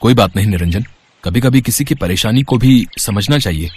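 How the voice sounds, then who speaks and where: A man voicing a humble, kind young auto-rickshaw driver in a Hindi moral story, speaking gently and earnestly. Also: fairly low pitch, fairly quick, slightly rough. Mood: kind, obliging.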